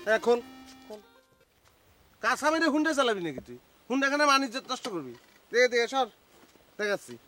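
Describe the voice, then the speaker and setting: A man's voice: very high-pitched, wildly swooping pitch, brisk, long pauses, sharp tone, soft background music, heavily acted. An actor playing a boastful, bossy village man in a comic TV drama, with a rural Bangladeshi dialect.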